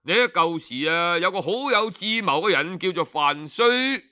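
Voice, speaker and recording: A man's voice: high voice, expressive, normal speed, sharp tone, clear, resonant tone, full character voice. A man voicing an earnest, persuasive servant of ancient China in a storybook tale, plaintive and emphatic. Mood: sighing regret.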